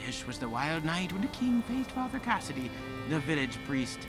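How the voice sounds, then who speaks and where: A man's voice: on the high side, big pitch swings, normal speed, over music, rough recording. A man playing a genial parish storyteller, telling a fireside tale theatrically. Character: genial Irish parish storyteller, Mister Clancy.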